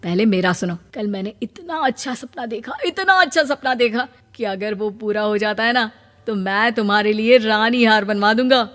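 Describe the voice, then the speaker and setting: A woman's voice: medium pitch, normal speed, a bit bright, close to an everyday voice. A woman voicing a cheerful, dreamy young husband who chats and banters in a family story. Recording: long reverb, music bed.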